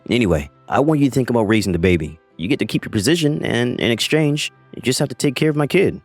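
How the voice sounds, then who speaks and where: A man's voice: mid-range voice, lively intonation, fast, dry recording, soft background music. A man voicing a cold, arrogant husband in his thirties who talks down to his wife. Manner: dismissive, condescending.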